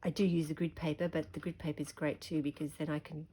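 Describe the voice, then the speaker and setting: An Australian woman with a slightly croaky voice, chatting through a hands-on craft tutorial at her desk. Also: low voice, fast talker, a little hiss or hum. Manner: chatty, relaxed, thinking aloud. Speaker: craft demonstrator.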